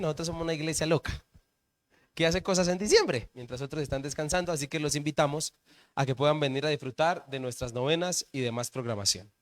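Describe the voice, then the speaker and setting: Pastor telling a story. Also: male voice, a little high, fairly bright tone. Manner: conversational, asks the congregation questions. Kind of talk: sermon with a personal story. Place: church service.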